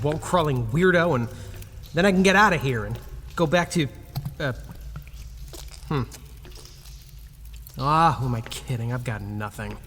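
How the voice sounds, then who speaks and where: A man's voice: on the high side, fairly bright tone, big-room sound. An actor playing a grumpy, put-upon young man in an audio drama, muttering, sarcastic and self-pitying. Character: grumpy, put-upon young male museum worker, Nick.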